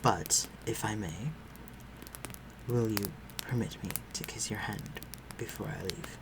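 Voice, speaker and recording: Man playing a low-voiced, courtly vampire lord. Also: slow. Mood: tender, courtly. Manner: formal, old-fashioned, tender and reassuring. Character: courtly, protective male vampire lord, gentle with his human. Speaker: voice actor. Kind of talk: dramatic audio roleplay addressed to the listener. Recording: clearly noisy, ambient sound behind voice.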